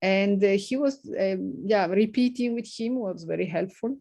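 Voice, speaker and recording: A middle-aged woman physicist with a non-native English accent, answering questions in a halting way full of fillers and light laughs. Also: mid-range voice, creaky, mellow. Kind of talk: informal seminar Q&A.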